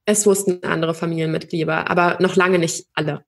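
Young woman, lowish voice, reflective, halting, lots of fillers. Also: steady tone, vocal fry, a little hiss or hum. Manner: thoughtful, many hesitations and fillers. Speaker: podcast guest. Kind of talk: personal interview.

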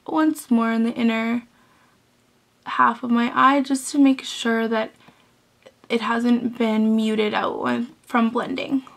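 A young woman with a high, gravelly voice that is hoarse from illness explains a makeup look step by step. She talks in a chatty, instructive way and sprinkles in "um"s. She sounds close to a camera mic in a small bedroom. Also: fairly bright tone. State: hoarse from illness, losing her voice. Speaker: makeup tutorial host. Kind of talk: step-by-step beauty tutorial.

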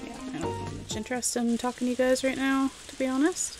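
A woman's voice: sits high, flat delivery, fast talker, music in the background. A woman giving casual let's-play commentary as she games, rambling and thinking aloud to herself. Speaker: video game streamer narrating gameplay.

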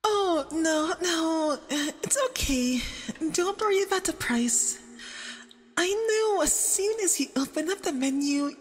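A woman playing a nervous, clingy, obsessive young femboy boyfriend on a date in an ASMR roleplay, halting and doting, with doubled, reverberant audio. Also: extremely high pitch. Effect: doubled audio with added reverb. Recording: long reverb, doubled voice track.

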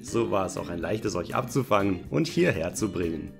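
An actor playing a genial, scheming older director and storyteller in a German audio play parody. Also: male voice, deep voice, animated, normal speed, gravelly, over music. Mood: jovial, grand.

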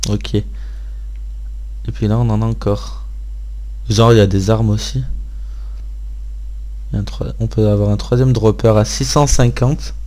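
A man's voice: on the low side, fairly even intonation, unhurried, long pauses, warm tone. A man hosting a gaming video, giving casual gameplay commentary and thinking aloud in an informal way.

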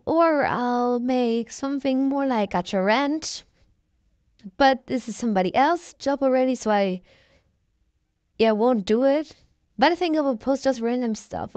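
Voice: mid-range voice, darkish tone, no room sound, lo-fi sound. A young-sounding voice run through a voice changer, chatting casually in a rambling vlog. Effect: voice changer filter. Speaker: content creator.

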